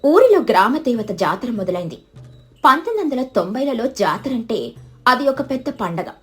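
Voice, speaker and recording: Animated female storyteller, higher-pitched voice. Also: moderate pace, soft background music. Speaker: story narrator. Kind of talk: scripted moral-story narration.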